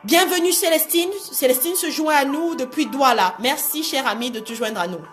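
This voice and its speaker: A woman coaching online viewers in an animated, exhortative live talk, repeating phrases and asking rhetorical questions for emphasis. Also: high-pitched, normal speed, faint music behind. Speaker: motivational coach hosting a livestream.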